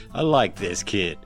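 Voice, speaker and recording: Man playing low-voiced gruff henchman. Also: full character voice. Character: gruff, mocking henchman of a gang boss. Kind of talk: audio drama acting.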